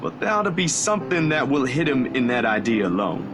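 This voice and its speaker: A man's voice: mid-range voice, very animated, fairly quick, natural voice. A man voicing an easygoing photographer friend in a visual novel, casual, reassuring and slightly hesitant. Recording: music behind the voice.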